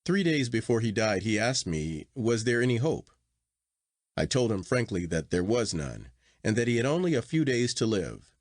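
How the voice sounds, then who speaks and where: A man voicing a frank, formal elderly English gentleman doctor in a literary story, matter-of-fact in manner. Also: fairly low pitch, very animated, normal speed, no room sound, clean studio sound. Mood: frank, grave.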